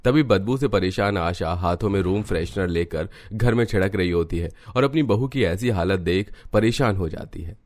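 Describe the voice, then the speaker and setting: Low-voiced male cartoon narrator. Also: moderate pace, few pauses, darkish tone.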